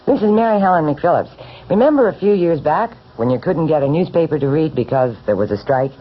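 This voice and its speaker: Animated low-voiced woman in a radio promo. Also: a little fast, slightly rough. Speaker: radio talk show host. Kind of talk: scripted radio programme promo. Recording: muffled 1970s radio broadcast.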